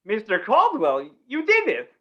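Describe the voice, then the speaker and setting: A flustered householder played by an actor in an old-time radio play. The voice is mid-pitched and very animated, pleading and exclaiming, with slightly dull sound. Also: unhurried. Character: flustered householder Mister Korlanovich. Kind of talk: 1950s radio play acting.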